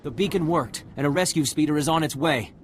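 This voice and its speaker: An actor playing a reassuring, businesslike rescue coordinator in a video game. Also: male voice, a little high, brisk, slight background noise, close to an everyday voice.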